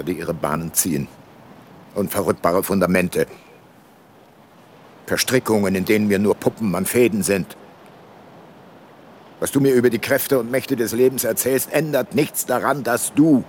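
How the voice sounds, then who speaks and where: An actor playing a brooding, resolute older detective in a radio drama, speaking gravely and deliberately. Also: male voice, fairly low pitch, slight background noise, close to an everyday voice. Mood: accusing. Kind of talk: radio drama dialogue and first-person narration.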